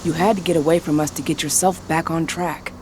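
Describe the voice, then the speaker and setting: An actress playing a frank, supportive activist comrade and old friend, casual and blunt, in a video game cutscene. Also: female voice, sits low, fairly even intonation, a little fast, lightly acted. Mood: frank, reassuring. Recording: clear ambient noise behind the voice.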